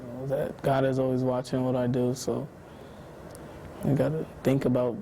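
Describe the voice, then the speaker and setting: Teenage boy, very flat delivery, mellow tone, halting, faint hiss. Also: medium pitch, low-quality recording. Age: teens. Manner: laid-back, halting, lots of "like". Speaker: student interviewee. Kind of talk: documentary interview answers.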